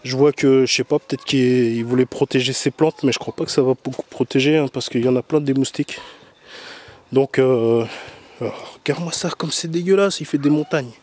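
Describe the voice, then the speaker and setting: A man's voice: medium pitch, a little fast, darkish tone. A man chatting casually and slangily in a teasing, mock-complaining way as he films outdoors on a handheld camera. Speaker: vlogger filming a garden. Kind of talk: casual rambling video commentary. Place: outdoors in an overgrown garden. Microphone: handheld camera mic.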